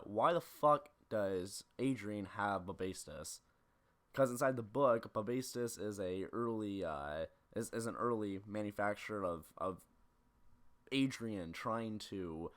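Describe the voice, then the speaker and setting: A man with a fairly low voice narrates a film analysis video. He is blunt and opinionated, sliding between scripted essay and casual, sweary commentary. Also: a bit bright.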